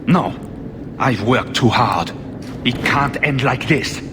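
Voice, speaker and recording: An actor playing a desperate, vengeful blood mage in a video game, grave and defensive. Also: male voice, medium pitch, slow, noisy background, lightly acted. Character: desperate, vengeful blood mage hunting his sister's killer. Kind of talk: dramatic video game acting. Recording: long hall reverb under loud game music.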